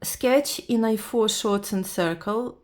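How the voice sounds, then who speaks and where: A woman from Latvia whose first language is Russian, speaking with a Russian accent and just woken up. She talks her way through a drawing lesson in a halting manner, restarting often and reading the instructions aloud. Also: medium pitch, a little mellow. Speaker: beginner art vlogger. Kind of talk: casual drawing tutorial.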